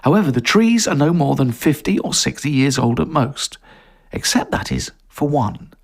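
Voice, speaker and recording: A man's voice: a little high, sing-song, moderate pace, gravelly, husky. A man reading a scripted audio story as narrator.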